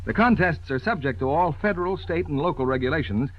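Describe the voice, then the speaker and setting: A man's voice: a little high, lively intonation, fast. An American man announcing an advertisement in 1940s radio style. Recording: dull, faintly noisy old radio recording.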